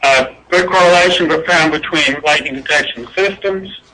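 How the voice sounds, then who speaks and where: A man's voice: a little high, moderate pace, sharp tone, rough recording. A man presenting scientific results at a conference in an informal, explanatory, unscripted way. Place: indoor presentation room with projected slides.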